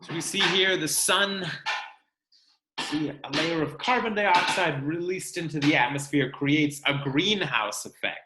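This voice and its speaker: A man reading a book aloud to children like a teacher, expressive and instructional, pausing to explain. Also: on the high side, noisy background. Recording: roomy, echoing sound.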